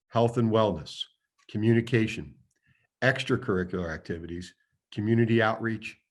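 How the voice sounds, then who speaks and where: Booming-voiced man, rambling. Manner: rambling, with many fillers. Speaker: school superintendent. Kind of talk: reading and answering public comments at a video meeting.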